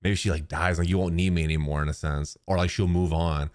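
A young man hosting a casual game trailer reaction, excitable and slangy, on a close microphone. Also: low-pitched, quick pace. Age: young adult. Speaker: YouTube reaction host and streamer. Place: small home streaming room. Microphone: close boom-arm microphone.

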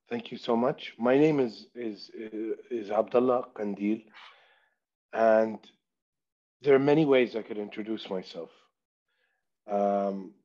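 Slow, mellow man on a headset call. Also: fairly low pitch. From Saudi Arabia. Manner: slow, reflective, speaks of himself in third person. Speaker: artist guest. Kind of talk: video call interview. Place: small room indoors. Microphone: headset on video call. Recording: dull lo-fi call audio.

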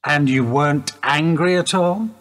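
An actor playing a sympathetic, measured police detective in a radio play. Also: male voice, a little high, a bit bright. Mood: probing. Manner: measured questioning. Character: sympathetic male police detective questioning a widow. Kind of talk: radio drama dialogue.

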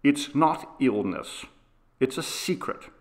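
A man's voice: mid-range voice, expressive, slow. A man voicing a feverish, eager young boy who pleads urgently and insistently in an audiobook story. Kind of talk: dramatised audiobook character reading.

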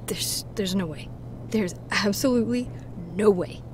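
Actress as defensive troubled woman, game drama, halting, very slow, noisy background. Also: mid-range voice, lightly acted. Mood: stunned. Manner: halting, disbelieving, foul-mouthed. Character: troubled woman patient, defensive, in shocked denial. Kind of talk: visual novel voice acting.

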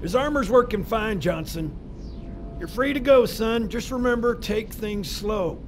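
A man's voice: high voice, a little slow, slight background noise. A man playing a grumpy, blunt armory sergeant in a video game. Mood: gruff. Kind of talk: video game cutscene acting.

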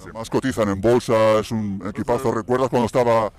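A man's voice: lowish voice, fairly even intonation, quick pace, a little mellow. A man from Barcelona, a native Spanish speaker, doing casual street interviews in a matey way outdoors with a handheld mic. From Barcelona, Spain. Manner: informal, matey. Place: outdoors on a city bridge. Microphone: handheld interview microphone.

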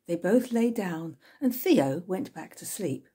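A woman in her sixties reading a novel aloud in an expressive storytelling way, indoors at home. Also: on the low side, takes it slowly, breathy edge. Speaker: author reading from own novel. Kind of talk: scripted narration, audiobook-style reading. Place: small room at home with bookshelves, indoors.

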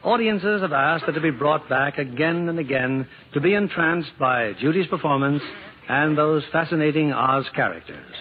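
Male radio host, slightly high and very expressive, formal old-broadcast narration, muffled with faint music. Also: normal speed. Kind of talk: formal scripted vintage radio presenting.

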